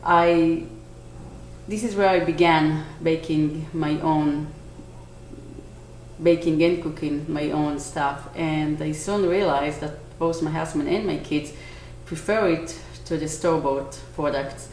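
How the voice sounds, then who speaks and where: A woman in her forties from Israel with an Israeli accent, a bakery owner answering interview questions earnestly, pausing to search for words. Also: deep voice, fairly even intonation, darkish tone. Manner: earnest, with small restarts and searching pauses. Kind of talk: on-camera interview. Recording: echoey room, faint noise.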